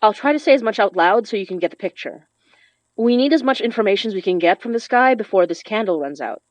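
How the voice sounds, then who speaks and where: Determined young female occultist acted by a woman, medium pitch, casual delivery, dry podcast drama sound. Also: expressive, quick pace, no room sound, close to an everyday voice. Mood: serious. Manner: casual, instructive, self-possessed. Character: determined, informal young woman who summons spirits. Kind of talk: horror audio drama acting. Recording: dry, slightly dull studio sound.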